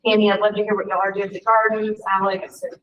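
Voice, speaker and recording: A woman chairing a board meeting in a relaxed, informal way. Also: medium pitch, fast, dull audio, slight background noise. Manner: warm, informal, lots of fillers. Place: meeting room. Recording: distant room mic at a meeting.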